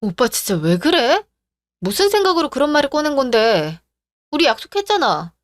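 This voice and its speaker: A woman's voice: high voice, big pitch swings, moderate pace, dry recording, studio quality. A woman doing a polite but firm young bride. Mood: upset. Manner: apologetic to elders, firm with fiancé. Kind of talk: radio drama acting.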